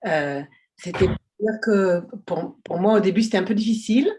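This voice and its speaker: A woman's voice: mid-range voice, moderate pace, dark tone. Lady on a call giving feedback, not her first language, lots of stumbling and restarts. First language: not French. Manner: hesitant, stumbling, many restarts. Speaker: participant. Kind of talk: spontaneous feedback. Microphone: video call.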